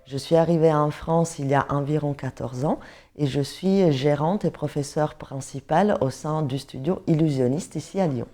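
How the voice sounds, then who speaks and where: A woman's voice: low voice, normal speed, dark tone. A woman in her thirties from Italy, speaking with an Italian accent as a teacher sharing her own story in an interview. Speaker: pole dance teacher and studio manager. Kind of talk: interview testimonial, personal storytelling. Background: music bed.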